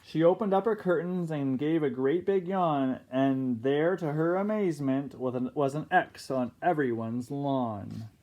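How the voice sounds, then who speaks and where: A kindergarten teacher reads a picture book aloud in a mellow, soft-edged voice. The reading is expressive, and it breaks off now and then for casual comments to the children. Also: medium pitch, lo-fi sound. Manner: expressive, pauses to comment to young listeners.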